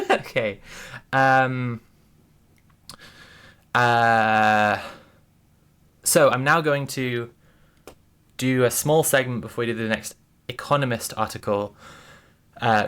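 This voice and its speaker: A woman's voice: rumbling low, fairly bright tone. A woman streaming, talking casually and thoughtfully to her chat, with many restarts and fillers.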